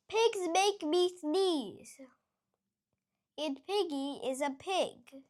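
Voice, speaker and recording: A man voicing a worried, dramatic elephant who keeps sneezing and speaks in a melodramatic way. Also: extremely high pitch. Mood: sad.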